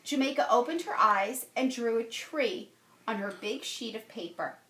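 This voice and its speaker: A woman's voice: a little high, moderate pace, fairly bright tone, a little hiss or hum. A woman who works as a teacher, reading a children's picture book aloud expressively. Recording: roomy, echoey home-style recording.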